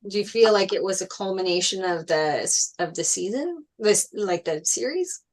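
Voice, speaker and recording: A woman's voice: mid-range voice, expressive, normal speed. A woman in a casual group discussion, informally putting questions to the others.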